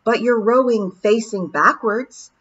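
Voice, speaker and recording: A woman's voice: fairly high pitch, slow pace, a bit bright, voice pushed up. A woman voicing a determined, clever young girl, firm and insistent. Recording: dull lo-fi audiobook recording.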